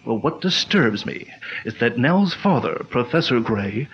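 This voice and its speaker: A man's voice: mid-range voice, lively intonation, a little slow, slight background noise, close to an everyday voice. Male actor as a commanding crime-fighting hero of vintage radio; declamatory, muffled old recording. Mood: calm. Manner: firm, declamatory, authoritative. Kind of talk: 1940s radio acting.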